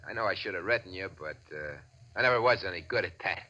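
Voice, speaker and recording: Brash, punchy gangster boss. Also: male voice, low-pitched, lively intonation, fast, sharp tone, exaggerated voice. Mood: hearty, boastful. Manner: brash, commanding, glib. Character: slick, bossy gangster nightclub owner, falsely jovial. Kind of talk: 1940s radio drama acting. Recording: dull, noisy vintage radio recording.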